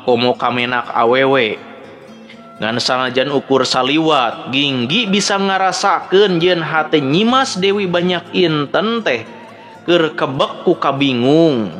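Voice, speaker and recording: A man's voice: fairly high pitch, a bit bright. A man with a Sundanese accent narrating a dramatic story as a storyteller. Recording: background music under the voice. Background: music.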